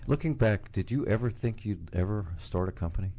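Deep mellow guy asking blunt interview questions. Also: lively intonation, fairly quick. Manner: direct, probing questions.

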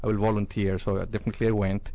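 Low-voiced Colombian man, even delivery. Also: fast talker, dry recording. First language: Spanish. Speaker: interview guest, chief executive. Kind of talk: interview answers.

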